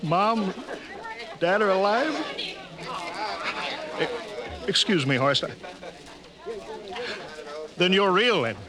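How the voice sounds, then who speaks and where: An actor playing a stern middle-aged rocket captain in a 1950s radio drama, with a forceful, clipped manner that turns to stammering when moved. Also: male voice, high-pitched, lively intonation, drawn-out words, long pauses, crowd noise, heavily acted. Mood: stunned, emotional. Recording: vintage 1950s radio recording.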